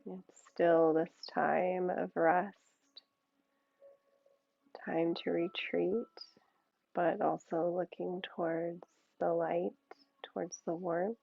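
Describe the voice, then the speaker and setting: A woman yoga teacher leading a guided meditation in a soothing, unhurried way, with gentle pauses between short phrases. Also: on the low side, little pitch movement, vocal fry, highs cut off.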